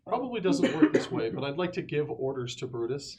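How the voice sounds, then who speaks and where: A man's voice: medium pitch, very animated, brisk, crowd noise. A man chatting casually as a tabletop game player, bossy and joking. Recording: faint background noise, clicks.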